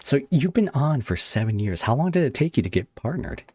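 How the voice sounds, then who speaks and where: A man's voice: medium pitch, big pitch swings, fairly quick, darkish tone, slightly rough, dry recording, studio quality. A man hosting a podcast, asking questions and chatting casually with a guest. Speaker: podcast host, interviewer. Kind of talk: casual interview chat.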